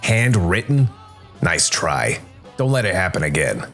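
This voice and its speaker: A man's voice: low voice, very animated, measured pace, a bit bright, soft background music, full character voice. Man acting a curt, irritable auto shop boss. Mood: irritated, scolding. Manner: curt, nagging.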